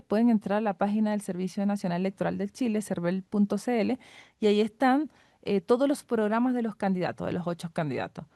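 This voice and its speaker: A woman's voice: medium pitch, dark tone, no echo, clean studio sound. A middle-aged woman from Venezuela speaking as an academic guest expert, giving analysis in an interview. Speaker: guest expert, political scientist and professor.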